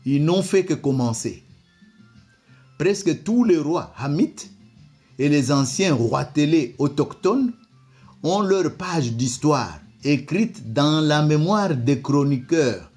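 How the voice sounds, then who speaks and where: Slow, hesitant man reading aloud. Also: fairly high pitch, animated, darkish tone, breathy edge, faint music behind. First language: not French. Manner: hesitant, frequent repeated words and fillers. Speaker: commentator presenting a document. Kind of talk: slow spoken commentary reading from a book.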